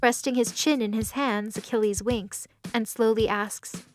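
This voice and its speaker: A woman's voice: on the high side, steady tone, a little slow. A woman reading scripted third-person narration for an audio drama. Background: music under the voice.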